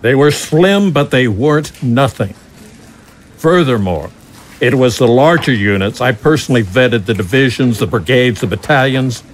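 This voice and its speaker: A dutiful general acted by a man reports in a mid-pitched voice. His delivery is measured, formal and deferential, with military correctness, in audio drama style. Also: expressive, lightly acted. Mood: defensive. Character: dutiful male general defending himself to his superior.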